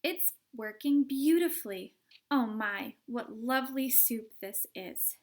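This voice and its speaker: A woman voicing a spoiled, stubborn gum-chewing young girl in a storybook read-aloud, loud and demanding. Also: a little high, expressive, takes it slowly. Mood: delighted.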